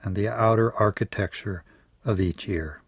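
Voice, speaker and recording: A man leading a guided yoga nidra meditation in a measured, soothing way, letting his phrases trail off softly. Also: on the low side, husky, no room sound.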